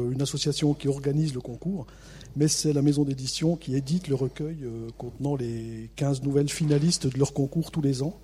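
A middle-aged man from the Vosges with a local accent, an author answering interview questions in a relaxed, self-mocking way with many hesitations. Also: medium pitch, slightly rough. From Vosges, France. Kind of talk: radio interview.

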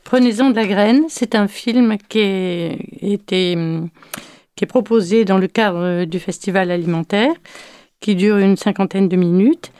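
A woman's voice: medium pitch, dark tone, clear, resonant tone, dry recording, studio quality. A woman speaking as a guest on a radio program, hesitating often with fillers while she explains things. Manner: hesitant, many pauses and fillers. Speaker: guest from an association. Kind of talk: radio interview.

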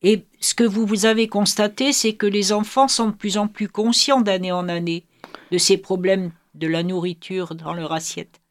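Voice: medium pitch, fairly even intonation, moderate pace. A radio host who moderates the interview, asks questions, and introduces the music. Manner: leading, moderating the guests.

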